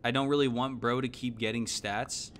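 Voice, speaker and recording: A man, giving casual, slangy, animated live game commentary. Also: medium pitch, normal speed, slight background noise. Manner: animated, exclaiming, thinking aloud. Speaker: game streamer. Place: indoor room at home.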